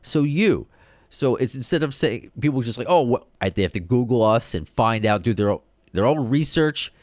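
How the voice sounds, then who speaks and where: A man from the United States hosting a solo podcast, talking in an excited, rambling way with many restarts. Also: mid-range voice, normal speed, no room sound, clean studio sound. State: short on sleep. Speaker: podcast host.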